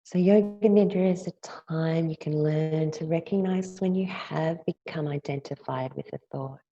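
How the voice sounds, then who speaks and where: A woman teacher leading a guided yoga nidra meditation in a gentle, soothing, unhurried way, leaving long pauses between phrases. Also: deep voice, highs cut off, slight background noise.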